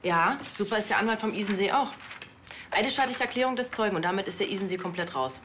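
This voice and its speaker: An actress playing a brisk, exasperated policewoman in a TV crime comedy. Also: medium pitch, animated, noisy background, natural voice.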